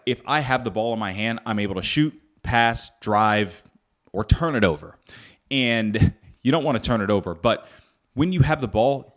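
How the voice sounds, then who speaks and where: A young man from Northern Virginia hosting a solo podcast, earnest and reflective, with frequent fillers and restarts. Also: medium pitch. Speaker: podcast host. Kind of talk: casual solo podcast talk.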